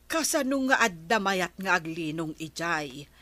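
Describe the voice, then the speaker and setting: A woman's voice: on the low side, expressive, a little slow, bright, forceful tone, no echo. An actress playing a disgusted, complaining village woman in an Ilocano radio comedy drama.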